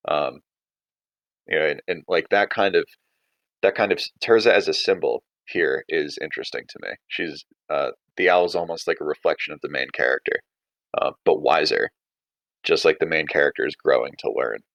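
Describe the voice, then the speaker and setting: A man's voice: very deep voice, normal speed, gravelly, fairly bright tone, dry recording. A man teaching a writing lesson in an informal, conversational way, thinking aloud as he explains. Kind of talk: casual writing lesson and story read-aloud.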